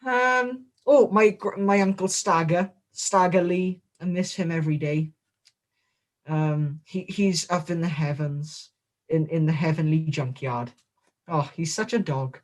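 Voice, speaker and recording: A man giving a comedic, in-character interview as a guest wrestler, deadpan, boastful and absurd. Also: on the high side, measured pace.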